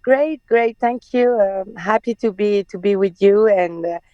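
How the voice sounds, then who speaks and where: French-accented woman in her 30s, persian first language, halting and laughy, calling in from her car, pretty dry sound. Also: medium pitch, warm tone, no echo, lo-fi sound. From France. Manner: hesitant, many restarts and laughs. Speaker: guest. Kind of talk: casual interview. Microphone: video call.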